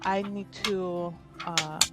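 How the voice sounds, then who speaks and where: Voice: mid-range voice, fairly even intonation, slow, lightly acted. A player voicing a duke's personal attendant in a formal, probing, officious manner. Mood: polite, formal. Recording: music playing behind the voice.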